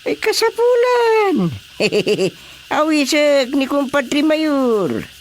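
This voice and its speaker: Slow, pompous Filipino village chairman. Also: very high-pitched, sing-song, slight background noise, full character voice. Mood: boastful. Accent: Filipino Ilocano. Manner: pompous, self-important. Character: boastful village chairman planning his grand birthday party. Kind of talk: Ilocano radio comedy drama acting.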